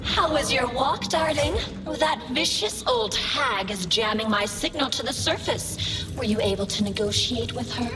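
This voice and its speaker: A woman's voice: fairly high pitch, animated, moderate pace, echoey room, distant mic, music in the background, lightly acted. An actress playing a flirtatious, possessive and eccentric female robot in a video game, cooing and full of pet names. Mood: possessive, scornful. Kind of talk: video game character acting.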